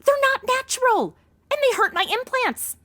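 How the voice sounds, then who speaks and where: A woman's voice: very high-pitched, very animated, normal speed, a bit bright, full character voice. An actress playing a brash, ditzy modern young woman in a comedic audio drama, talking in blunt, slangy valley-girl phrases. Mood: sassy, clueless.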